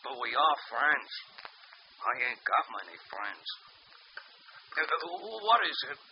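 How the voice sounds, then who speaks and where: A man's voice: mid-range voice, very animated, slow. An actor in a 1940s radio drama playing a meek, simple-minded man who talks in a timid, hesitant, pleading way. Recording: dull old radio broadcast with faint hiss.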